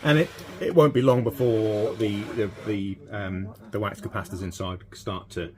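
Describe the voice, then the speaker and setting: British guy doing a casual repair demo at his bench, lowish voice, chatty and rambling, noisy room. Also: steady tone, moderate pace, a little mellow. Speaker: vintage radio repairer presenting a video. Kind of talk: casual hands-on workshop demonstration. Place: small cluttered electronics workshop, indoors. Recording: clearly noisy workshop with background ambience.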